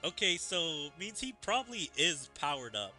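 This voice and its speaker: A man's voice: fairly high pitch, big pitch swings, normal speed, bright, forceful tone. A man giving casual, rambling commentary on a game's story as a streamer. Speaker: gaming streamer. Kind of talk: casual commentary on a game story, reading dialogue aloud. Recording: game music behind the voice. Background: game music.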